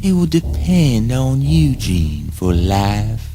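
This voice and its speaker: An actor playing a grandiose, childlike man who dreams of ruling the earth, declaiming a theatrical spoken-word monologue in a deliberate, self-aggrandizing way. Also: mid-range voice, very animated. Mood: grandiose, menacing. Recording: music under the voice. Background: music.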